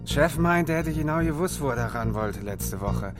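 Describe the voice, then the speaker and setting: A man's voice: on the high side, lively intonation, normal speed, loud music behind the voice, close to an everyday voice. A man playing a needling, pushy thug in a radio drama, talking colloquially as he passes on orders. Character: needling male thug passing on boss's orders. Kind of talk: German radio drama acting.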